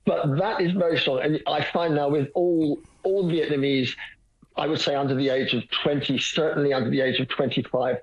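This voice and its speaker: A man's voice: fairly high pitch, steady tone, brisk, slightly rough. A man being interviewed, rambling and hesitant, with many restarts and a dry self-mocking humor. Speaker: guest. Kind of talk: phone-in radio interview. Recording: muffled lo-fi line.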